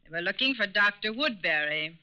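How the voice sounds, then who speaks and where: A woman's voice: mid-range voice, lively intonation, brisk, close to an everyday voice. An actress playing a shrewd, self-assured woman con artist in a 1940s radio crime drama. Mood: cool, assured. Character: shrewd, cool-headed female con artist, sometimes feigning worried mother. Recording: muffled old radio broadcast recording.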